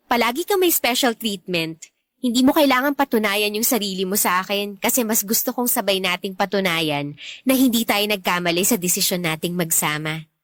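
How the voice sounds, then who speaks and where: A woman's voice: mid-range voice, fairly bright tone, no room sound, natural voice. A woman with a Filipino accent playing a supportive young girlfriend, speaking casually and earnestly in a radio drama. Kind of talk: Tagalog radio drama dialogue.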